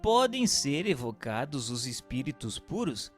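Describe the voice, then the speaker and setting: Animated male narrator in long reverb. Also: medium pitch, unhurried. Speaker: narrator and questioner. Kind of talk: scripted narration of a spiritist text. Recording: long hall reverb, music underneath.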